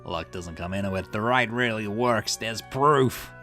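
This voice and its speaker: A ruthless, grief-obsessed man from a game, read aloud by a man in a low voice that snarls and flares into outbursts. Game music plays clearly underneath. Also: a little slow, pushed and bright. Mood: bitter. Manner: snarling, defensive, rising to outbursts. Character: bitter grieving middle-aged man, obsessive and ruthless. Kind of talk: reading game dialogue aloud.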